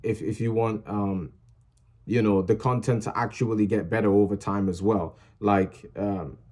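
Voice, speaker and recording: A man's voice: lowish voice, fairly even intonation, unhurried, darkish tone. A young man who makes music videos talks to his viewers in a casual, rambling way, full of fillers and restarts, earnestly urging them to post what they love. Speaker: small music channel creator. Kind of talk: casual motivational vlog talk.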